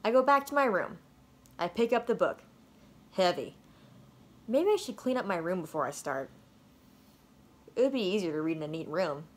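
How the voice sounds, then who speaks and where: Casting: female storybook reader, playful and expressive, widely varied intonation. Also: lowish voice, moderate pace, pauses often. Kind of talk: expressive children's read-aloud narration.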